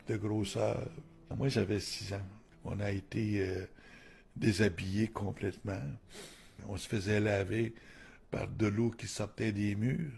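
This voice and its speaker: Male survivor; gravelly, fairly low, conversational. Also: darkish tone. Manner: plain, conversational, with fillers. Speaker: residential school survivor. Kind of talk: testimony.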